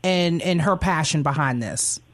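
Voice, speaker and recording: A woman's voice: deep voice, measured pace. Effusive woman hosting a radio interview. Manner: effusive, affirming. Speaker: radio show host.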